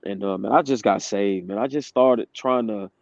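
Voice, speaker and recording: A man's voice: on the low side, normal speed, darkish tone, no room sound. A man in his twenties giving candid, casual testimony as a guest, laughing between points. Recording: dry dull lo-fi sound.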